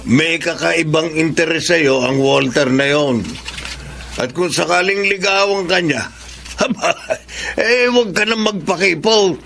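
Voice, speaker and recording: Gravelly, scheming Filipino father. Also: a little high, unhurried, fairly bright tone, slight background noise. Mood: sly, prodding. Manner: insinuating, coaxing. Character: scheming, nosy middle-aged father of a grown daughter. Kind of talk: Filipino radio drama acting.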